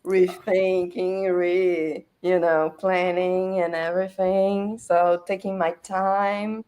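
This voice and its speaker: Slow-talking Brazilian-accented woman. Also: lowish voice, flat delivery, a little mellow, clear, resonant tone. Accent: Brazilian Portuguese. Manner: unhurried, laughs often, searches for words. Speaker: podcast guest. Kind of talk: casual video-call chat. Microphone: video call.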